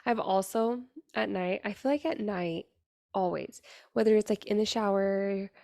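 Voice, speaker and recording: A young woman hosting her podcast, talking in a raspy voice with an earnest, chatty manner full of fillers. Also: medium pitch, a little slow, mellow, no echo, studio quality. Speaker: podcast host. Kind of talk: casual solo podcast monologue.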